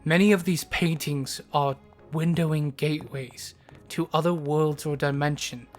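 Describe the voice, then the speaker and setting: A man narrates a scripted fantasy tale at a slow, unhurried pace. His voice sits a little high for a man, and music plays faintly behind him. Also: fairly even intonation.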